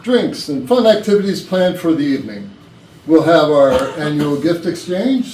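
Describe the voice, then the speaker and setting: A man playing a genial retiring chief executive, giving a measured, gracious speech in a stage play. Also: on the high side, mellow. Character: genial, formal retiring male company CEO. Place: indoor rehearsal room. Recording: roomy rehearsal, distant mic, faint noise.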